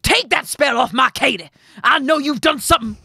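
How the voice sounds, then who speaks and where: A woman voicing a frantic young male miller in a storybook tale, shouting urgently. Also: medium pitch, expressive, a little slow, creaky, breathy edge, no room sound, clean studio sound, voice pushed up, heavily acted. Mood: angry. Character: frantic young man, a miller defying his mother.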